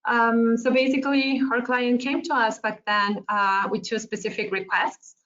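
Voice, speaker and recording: A woman's voice: on the high side, level tone, moderate pace, a bit bright. A woman from Argentina presenting a webinar, friendly and hesitant, with many fillers. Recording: muffled lo-fi online call audio.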